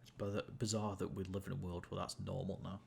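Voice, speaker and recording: Young male streamer, low gravelly voice, easygoing rambling chat, faint hiss on the mic. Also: level tone, brisk, a little mellow. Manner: rambling, self-amused, many fillers and restarts. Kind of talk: casual gameplay chat to viewers.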